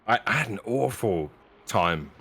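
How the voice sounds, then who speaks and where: A man commentating a live sim race with relaxed, self-deprecating banter. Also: fairly low pitch, a little slow.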